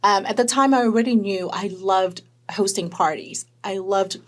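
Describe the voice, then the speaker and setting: Woman guest from Hong Kong, mid-range voice, animated conversational storyteller. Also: mid-range voice, normal speed. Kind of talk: conversational interview.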